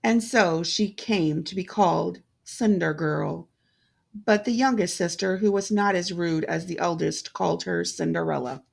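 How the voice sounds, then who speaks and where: Slow, creaky-voiced woman storyteller. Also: fairly low pitch. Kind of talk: scripted fairytale narration.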